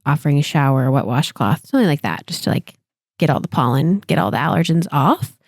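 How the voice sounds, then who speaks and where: A woman nurse practitioner, co-hosting a health podcast, sniffly and giving steady, practical advice. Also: sits low, quick pace, gravelly, dry recording, clean studio sound. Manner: steady, practical explaining, frequent agreeing hums. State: sniffly, stuffy. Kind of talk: casual health podcast chat.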